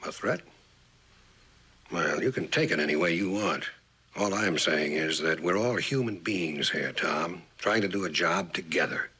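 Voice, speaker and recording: An actor playing a stern, commanding older general with an American accent in a mid-century television drama, speaking in a blunt, deliberate way. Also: male voice, low voice, pushed and bright, husky, heavily acted. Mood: stern, admonishing. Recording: old television soundtrack with faint hiss.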